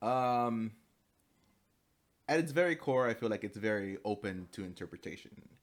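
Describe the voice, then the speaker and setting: A young man from the United States giving a spoken class presentation, halting, with many fillers and restarts, at times switching into fluent Spanish. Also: on the low side, lively intonation. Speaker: student.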